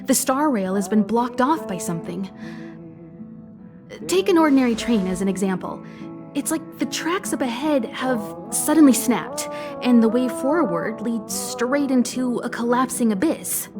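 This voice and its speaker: Game crew leader, slightly high pitch, poised, caring, articulate exposition, clear music behind. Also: female voice, lively intonation, unhurried. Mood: thoughtful. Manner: composed, reassuring, articulate.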